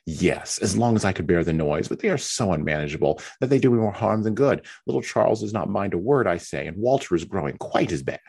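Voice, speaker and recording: A fretful, self-pitying young wife who whines through every complaint, as a man reading aloud puts her on. Her voice rises well above his own husky register and swings about with each grievance. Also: low voice, animated, brisk, few pauses, darkish tone, voice pushed up, heavily acted. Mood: complaining. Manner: whiny, complaining.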